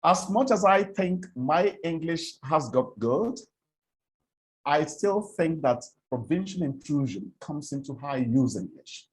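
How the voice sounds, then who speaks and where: A man from Nigeria with an Igbo accent, lecturing on English speech for presenters in a deliberate way, repeating words and giving examples. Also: a little high, expressive, low-quality recording. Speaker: presentation coach. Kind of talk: spoken lecture on English diction.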